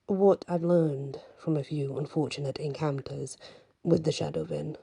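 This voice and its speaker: Cryptic older-guide type for fantasy audiobook woman performing: male mentor, low, creaky, measured, blunt. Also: steady tone, mellow, a little hiss or hum. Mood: grave, cryptic. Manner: measured, speaks in riddling statements.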